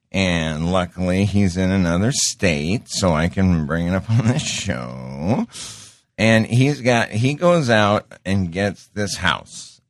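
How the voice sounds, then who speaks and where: Deep-voiced rambling middle-aged man. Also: dry recording, clean studio sound. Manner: rambling, self-interrupting, repeats words. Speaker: show host. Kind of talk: casual monologue.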